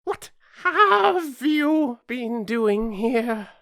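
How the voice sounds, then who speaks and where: A storyteller voicing a furious, imperious queen in a shrill, loud voice, severe and impatient, barking out commands. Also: big pitch swings, drawn-out words, no echo, studio quality, exaggerated voice. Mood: severe, demanding.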